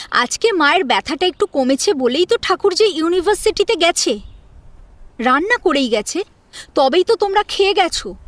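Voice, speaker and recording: A woman's voice: very high-pitched, dry recording. A woman playing an outspoken, indignant daughter-in-law in an audio family drama, reproaching the household with pointed questions. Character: outspoken, indignant young wife defending her sister-in-law. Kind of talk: Bengali audio story drama.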